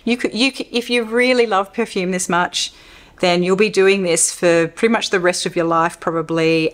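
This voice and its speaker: A woman with an Australian accent and a low, deep speaking voice chats at length to camera. She rambles in a relaxed way, often restarting her sentences mid-thought. She sounds like a middle-aged vlogger talking from home. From Western Australia. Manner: rambling, chatty, self-interrupting with restarts. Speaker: perfume YouTuber. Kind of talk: casual Q&A vlog talk.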